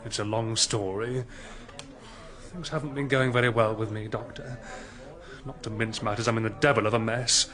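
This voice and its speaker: An actor playing a troubled young gentleman in a radio drama, grumbling ruefully and with exasperation. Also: fairly low pitch, steady tone, moderate pace, crowd noise, close to an everyday voice.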